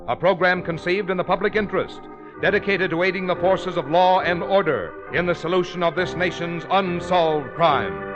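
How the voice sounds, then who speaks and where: A man's voice: high-pitched, moderate pace, music in the background. An American man making formal, declamatory announcements for a mid-century radio network, on a muffled old recording.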